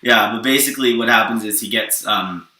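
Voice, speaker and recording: A man's voice: on the low side, brisk, pushed and bright. A man rambling through a casual, improvised story explanation, often checking that his listener follows. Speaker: storyteller presenting his own superhero story. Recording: roomy echo, distant from the mic.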